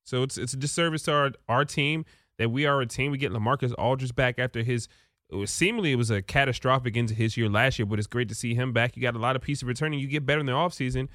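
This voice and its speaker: A man's voice: mid-range voice, fast, a bit bright, no room sound, studio quality. A man in casual sports talk, informal and slangy, pressing his opinions as a panelist. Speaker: sports betting show panelist.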